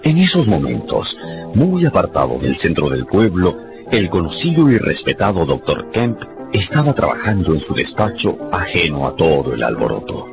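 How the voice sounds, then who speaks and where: A man's voice: low voice, sing-song, normal speed, gravelly. A man narrating a radio drama in a dramatic, scripted storytelling style. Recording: slightly dull sound, music behind.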